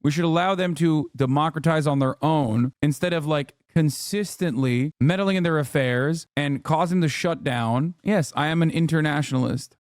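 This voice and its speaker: A fiery male streamer with an American accent, born in Turkey and a native Turkish speaker, goes on a profane political rant. His voice sits a little high, and the recording is close and dry with no room sound. He talks casually, with emphatic bursts. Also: moderate pace, hardly any pauses, dry recording. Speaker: livestream host reacting to news clips.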